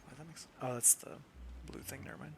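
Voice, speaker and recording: A man with a mid-pitched voice mutters casual gameplay commentary in a halting way. His mic is clearly noisy, with game sound around him. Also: fairly even intonation. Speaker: gamer recording a playthrough. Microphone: gaming microphone. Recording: noisy home mic over game audio.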